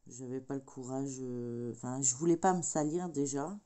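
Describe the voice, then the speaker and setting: Middle-aged female crafter, mellow dark tone, a little stuffy from a cold, meandering chatty delivery. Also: extremely low pitch, steady tone, normal speed. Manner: rambling, hesitant, many filler sounds. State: has a cold. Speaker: craft vlogger. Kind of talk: casual chat while crafting.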